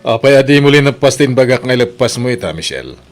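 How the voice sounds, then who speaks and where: A man's voice: medium pitch, big pitch swings, fast talker, music in the background, natural voice. A man with an Ilocano accent playing an impatient, bullying boss in an audio drama. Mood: curt, demanding. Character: demanding, impatient male boss who bullies his employee. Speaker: drama actor.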